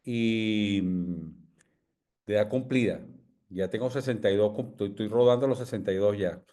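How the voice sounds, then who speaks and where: Older Venezuelan physician guest, deep voice, measured and humble, halting with fillers. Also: male voice, mellow. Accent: Venezuelan Spanish. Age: 60s. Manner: measured, humble, many fillers and tag questions. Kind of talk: reflective interview answers.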